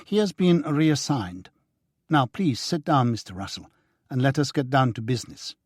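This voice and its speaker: A man's voice: medium pitch, very animated, moderate pace, no room sound, clean studio sound, voice pushed up. A man reading a stern, curt, businesslike woman who serves as a secret contact in an audiobook.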